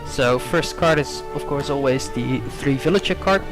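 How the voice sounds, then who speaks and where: A man's voice: mid-range voice, moderate pace, over music. A young Dutch-native man giving hesitant gameplay commentary, full of ums and self-corrections.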